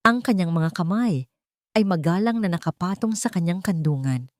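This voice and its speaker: A woman's voice: lowish voice, animated, moderate pace, a little mellow, no echo, studio quality. A woman with a Filipino accent narrating a dramatic scripted story as an audiobook narrator.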